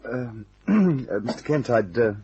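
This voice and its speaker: An actor playing a nervous, evasive English gentleman who stammers politely, in an old-time radio detective drama. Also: medium pitch, sing-song, slow, slightly rough, muffled recording, exaggerated voice. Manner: hesitant, stammering, courteous.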